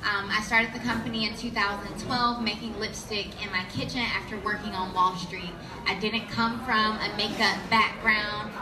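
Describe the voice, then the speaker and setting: A woman introducing her company to a live audience on a panel, confident and conversational. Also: mid-range voice. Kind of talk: public panel talk. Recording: echoey room with audience.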